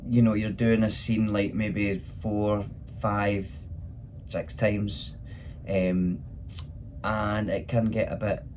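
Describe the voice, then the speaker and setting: A man's voice: fairly low pitch, level tone, slow, a little mellow, a little hiss or hum. A man with a Scottish accent who performs in pantomime, answering questions in a casual chat.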